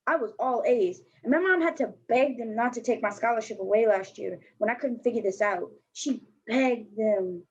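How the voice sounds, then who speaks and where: Woman playing brash, high-voiced fourteen-year-old skater girl, husky. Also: normal speed, few pauses. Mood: agitated, pleading. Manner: blunt, slangy, sarcastic. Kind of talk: staged play reading. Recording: dull lo-fi recording.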